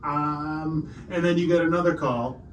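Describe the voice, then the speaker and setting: A man game mastering a casual tabletop role-playing session, improvising narration with halting, searching phrasing. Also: fairly high pitch, fairly even intonation. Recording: roomy echo, distant mic, background noise.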